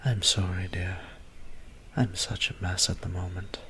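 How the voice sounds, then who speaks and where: Male actor as weary vampire priest, low pitch, even intonation, soft whispery breath, formal old-fashioned wording, doting, intimate audio drama. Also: unhurried, slight background noise. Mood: tender, doting, then weary, self-deprecating. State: tired.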